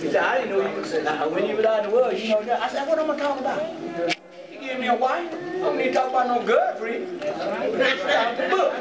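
A man's voice: extremely high pitch, lively intonation, fairly quick, over a noisy crowd. An older man preaching an impassioned, colloquial sermon, emphatic and repetitive, stumbling over words in bursts. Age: older, 60s or 70s. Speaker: pastor preaching. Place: indoor church sanctuary, pacing before congregation. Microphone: distant camera in the room. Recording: echoey church hall from far off, loud congregation.

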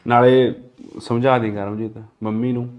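An eager-to-please husband, played by a man, with an insistent and hospitable manner. His voice is at an ordinary male pitch, heard through a dull, lo-fi recording. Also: little pitch movement, a little slow, close to an everyday voice.

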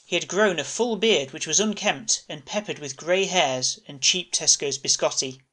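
Bright-voiced man narrating a story. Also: on the high side, normal speed. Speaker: narrator and writer.

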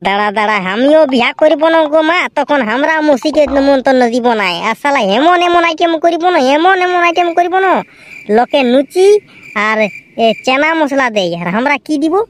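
Man doing a cheeky high-voiced bragging lad. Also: moderate pace. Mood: bragging, scheming. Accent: Purulia Bengali. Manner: bragging, mocking. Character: cheeky, quarrelsome, bragging village lad, comic layabout. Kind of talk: comic cartoon dialogue.

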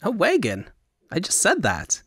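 A man's voice: high-pitched, huge pitch swings, measured pace, fairly bright tone, breathy edge, no room sound, studio quality, pitched above the performer's own voice, exaggerated voice. A man voicing a foppish, carefree artist in an audiobook, chatty and dramatic with a lilting delivery. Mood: dismissive, musing.

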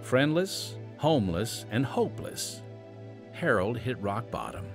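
A male radio announcer delivering a dramatic, weighty introduction. He speaks slowly with a lively rise and fall, over clearly audible music. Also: mid-range voice. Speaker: program announcer.

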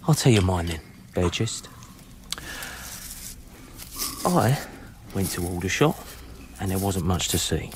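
An actor playing a chummy yet dangerous British scavenger in a radio drama, in clipped, sardonic, mock-friendly phrases. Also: male voice, low-pitched, expressive, normal speed, background noise. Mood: quietly menacing.

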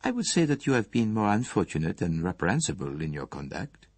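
A man voicing a courteous, shrewd foreign detective in an audiobook, with formal, measured, precise phrasing and a gently probing manner. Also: low-pitched, big pitch swings, husky, dry recording, heavily acted. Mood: calm, probing.

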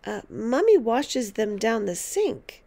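A woman's voice: fairly high pitch, very animated, slow, vocal fry, warm tone, heavily acted. A woman voicing a polite little boy of eight in a storybook, plaintive and protesting. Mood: dismayed, protesting. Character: small eight-year-old boy, polite, dismayed by his grandmother.

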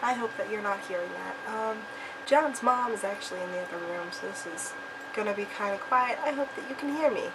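A woman's voice: mid-range voice, a little fast, distant mic, very noisy. A woman in her twenties chatting casually to her viewers in a vlog, with a chatty, emphatic manner and a voice slightly off from a cold. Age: 20s. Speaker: vlogger, channel host. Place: small cluttered room at home.

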